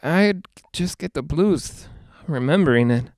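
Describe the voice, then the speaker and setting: A male actor playing a nervous, lonely broadcaster in a 1940s-style radio drama, rambling and halting as he tries to reassure himself. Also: fairly high pitch, animated, darkish tone, dry recording, clean studio sound. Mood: melancholy, lonely. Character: jittery, lonely man broadcasting alone from haunted house.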